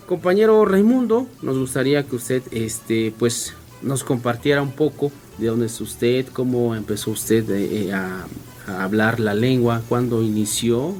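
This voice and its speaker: A man's voice: medium pitch, animated, measured pace, a little mellow, faint music behind. A man whose first language is Mixe, hosting a radio conversation and asking questions with frequent fillers. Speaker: radio host.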